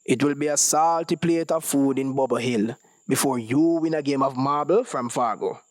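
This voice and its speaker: A man reading the part of a calm, wise older companion, gently advising and mildly teasing. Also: medium pitch, normal speed, dry recording, close to an everyday voice.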